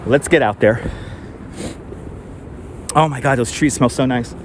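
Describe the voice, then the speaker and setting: A man's voice: mid-range voice, unhurried. A man with a mild New York accent hosting a casual walking live stream outdoors, talking to viewers with lots of fillers and restarts on a clip-on mic. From New York City. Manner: chatty, thinks aloud with fillers and restarts. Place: outdoors on city streets. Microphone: wireless clip-on mic. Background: faint street traffic.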